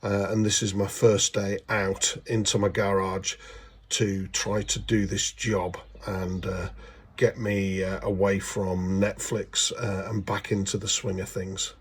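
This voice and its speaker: A middle-aged man with a congested, cold-affected voice, talking through a hands-on job in a halting, explanatory way. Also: low voice, level tone, breathy edge. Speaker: hobbyist mechanic narrating a video. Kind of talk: casual how-to narration. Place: home garage.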